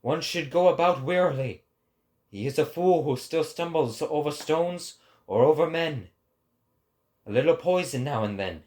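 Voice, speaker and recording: A man voicing a lofty, sorrowful prophet who preaches a grave warning in a deliberate, declamatory dramatic reading. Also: on the high side. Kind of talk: dramatic philosophical oration.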